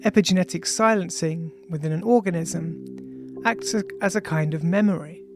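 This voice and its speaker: Androgynous voice reading a science audiobook, measured, mid pitch, ambient music playing behind. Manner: measured, reads punctuation aloud. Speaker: author reading own audiobook. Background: ambient music with bell-like tones.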